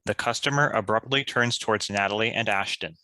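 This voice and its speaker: Male narrator for a script read: lowish, bright, forceful tone, very dry recording, stage directions. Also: brisk, no room sound, low-quality recording.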